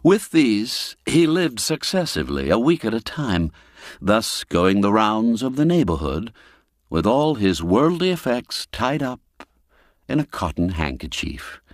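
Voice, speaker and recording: A man narrating a classic literary tale in a measured, expressive storyteller's manner. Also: fairly low pitch, no room sound, studio quality. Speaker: narrator and audiobook reader.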